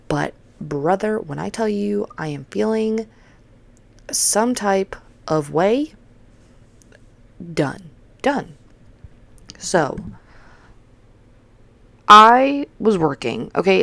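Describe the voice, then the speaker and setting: A woman in her thirties hosting a casual solo podcast, blunt and swearing as she rants, her voice hoarse and congested. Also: fairly low pitch. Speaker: podcast host.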